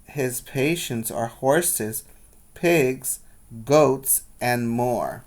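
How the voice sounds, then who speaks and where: A male preschool teacher reads a children's book at a slow, measured pace in a mid-range voice. He is instructional, adding simple explanations and questions for his young listeners. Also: mid-range voice. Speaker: preschool teacher reading aloud. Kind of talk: children's read-aloud with explanatory asides.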